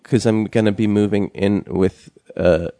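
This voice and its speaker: Low-voiced halting male podcast host. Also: steady tone, mellow, no room sound, clean studio sound. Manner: halting, many fillers and restarts. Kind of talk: casual chat.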